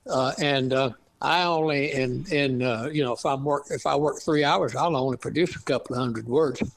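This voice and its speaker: An older man in his eighties with an East Texas accent, chatting as a guest author, halting with many fillers and restarts. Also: medium pitch, vocal fry. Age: 80s. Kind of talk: casual interview chat.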